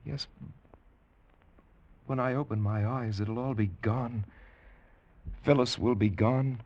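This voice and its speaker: An actor playing a stern, by-the-book American spaceship captain who is shaken, commanding and clipped in a vintage radio drama. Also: male voice, medium pitch, fairly even intonation, takes it slowly, dull audio. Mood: stunned. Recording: old radio recording, faint music.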